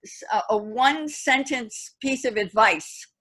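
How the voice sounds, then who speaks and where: Earnest, halting woman on a video call. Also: on the high side, fairly bright tone. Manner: earnest, halting with many restarts. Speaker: poet and event host. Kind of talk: reflective online panel talk.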